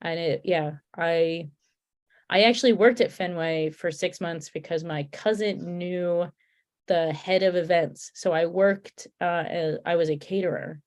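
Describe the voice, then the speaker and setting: A woman's voice: fairly low pitch, steady tone, a little slow, a little mellow. Chatty woman with a Connecticut accent. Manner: chatty, rambling with restarts. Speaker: podcast guest, beer comic artist. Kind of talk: casual chat.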